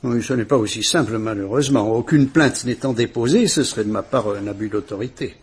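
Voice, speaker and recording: An actor playing a calm, kindly police commissioner in a vintage radio drama, speaking in a measured, courteous and reassuring way. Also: male voice, medium pitch, slightly rough, lightly acted. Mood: grave, reassuring.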